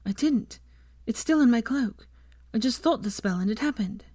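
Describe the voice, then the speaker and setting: A woman narrator puts on a modest teenage witch from a storybook. The voice is lifted higher and kept soft and tentative, in a dry recording. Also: animated, a little fast, no echo. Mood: shaken, subdued. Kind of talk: audiobook character voice.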